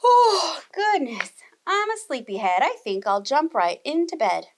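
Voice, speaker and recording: High-pitched little girl, bold, sing-song, exclamatory, very animated, storybook character, acted by a woman. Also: a little slow, slight background noise, heavily acted. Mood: pleased. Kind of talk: children's storybook read-aloud.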